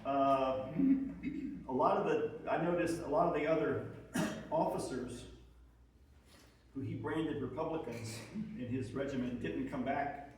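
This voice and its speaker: A man's voice: medium pitch, measured pace, pauses often. Echoey, distant man presenting local history. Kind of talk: informal talk and discussion with audience. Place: indoor hall with an audience. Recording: very echoey room, distant mic, faint noise.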